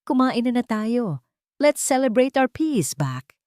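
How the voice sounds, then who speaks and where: A woman's voice: a little high, sing-song, takes it slowly, a little mellow, no echo, studio quality, voice pushed up. A woman voicing a scheming, spiteful aunt in a story, sarcastic and accusing, with a Filipino accent. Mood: forced cheer.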